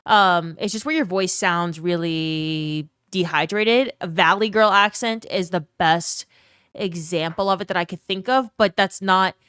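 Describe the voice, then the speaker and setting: Chatty woman streamer, smooth and low, slight fry, rambles and thanks chat by name. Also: a little slow, a bit bright, no echo, studio quality. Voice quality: slight vocal fry at phrase ends. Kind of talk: casual live-stream chat.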